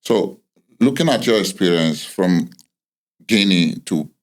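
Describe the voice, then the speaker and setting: A very low male voice, hosting a football podcast. He speaks in a measured way, building long reflective questions. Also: lively intonation. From Nigeria. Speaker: podcast host.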